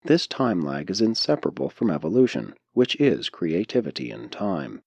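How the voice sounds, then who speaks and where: A man with a low voice reads a formal audiobook text in a deliberate, measured manner. His pitch moves widely as he narrates, and the recording is dry and close, free of room sound. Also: very animated, a little mellow, breathy edge, dry recording. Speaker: audiobook reader.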